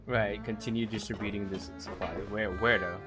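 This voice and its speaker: A man's voice: fairly low pitch, steady tone, brisk. A man casually commenting on a game as he livestreams it. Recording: game music behind the voice.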